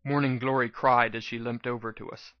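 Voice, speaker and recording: A man's voice: mid-range voice, level tone, brisk, no room sound, lo-fi sound. A man reading a scripted first-person story aloud as a dramatic audiobook narration. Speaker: audiobook reader.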